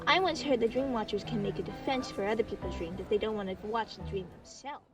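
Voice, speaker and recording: A woman's voice: medium pitch, fast talker, distant mic, over music, close to an everyday voice. A woman playing an innocent, chatty young child in an audio roleplay, eager and rambling. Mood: playful.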